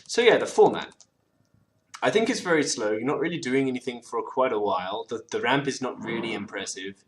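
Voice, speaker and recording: A man's voice: medium pitch, expressive, fairly quick. A man, co-hosting and chatting through an online card game, restarting words and murmuring card names. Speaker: co-host playing a card game. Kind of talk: casual gameplay commentary. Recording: faint background noise.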